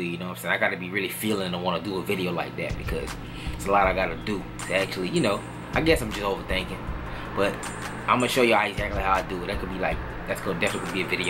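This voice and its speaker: A relaxed young man, speaking in a fairly low voice. He talks loosely and casually, circling back with "you know what I'm saying," while clear music plays under him. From New Orleans, Louisiana. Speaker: vlogger answering viewer questions. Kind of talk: casual Q&A chat.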